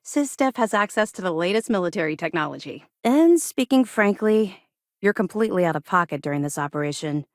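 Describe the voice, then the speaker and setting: An actress playing a frank, dutiful military officer in a video game, mixing crisp formal address with wry, candid informality. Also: female voice, mid-range voice, expressive, brisk, no echo, studio quality, natural voice. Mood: businesslike, confident. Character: frank, dutiful military officer aboard a space patrol ship.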